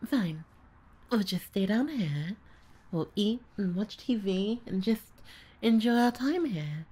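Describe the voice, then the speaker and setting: A woman playing a young, lazy and easily flustered babysitter in an ASMR audio roleplay, with a breathy, hesitant delivery. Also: mid-range voice. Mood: smug. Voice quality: husky, breathy, often dropping to a near-whisper. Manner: hesitant, muttering, sighing, trailing off. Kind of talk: ASMR audio roleplay, solo character acting.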